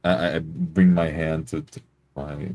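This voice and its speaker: A man improvising first-person narration as a tabletop roleplay player, speaking in a halting, hesitant way. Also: a bass voice, flat delivery, a little mellow.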